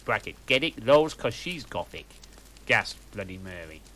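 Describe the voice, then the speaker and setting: A man's voice: fairly low pitch, sing-song, moderate pace, sharp tone. A man reading a story aloud for laughs at home, with dry, mocking asides between lines. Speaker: reader. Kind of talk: comic reading of a fanfic.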